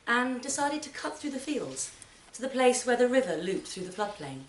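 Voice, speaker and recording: Female actor for literary storytelling, expressive with deliberate pauses, reverberant room and faint noise. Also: on the high side. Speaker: actor reading a short story. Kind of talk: dramatic literary reading to a live audience. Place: performance space in a library centre. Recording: roomy, distant mic, background noise.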